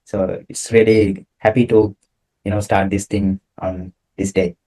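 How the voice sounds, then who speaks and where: A young man from Sri Lanka co-hosting a casual slide talk over a video call, explaining things in a relaxed way with fillers. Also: on the low side, unhurried, poor audio. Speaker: co-host, solution engineer. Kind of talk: casual presentation chat.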